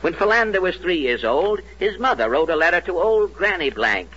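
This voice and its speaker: A man's voice: high voice, very animated, moderate pace, sharp tone, slightly rough. An American man narrating a scripted 1940s radio comedy in a mock-solemn, declamatory way. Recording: dull old radio broadcast.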